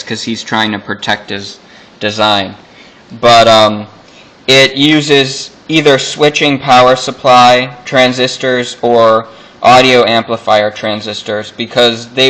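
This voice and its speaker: A man with a bright, clear voice talks through hobby electronics in a casual, informal way. He goes slowly and haltingly, explaining technical details piece by piece as he demonstrates. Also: mid-range voice, poor audio. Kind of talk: casual explanatory product walkthrough.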